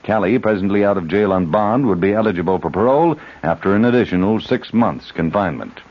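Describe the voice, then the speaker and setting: Male newsreader: lower register, scripted announcing, muffled old radio sound. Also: quick pace. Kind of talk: scripted news and ad reading. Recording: dull old radio broadcast.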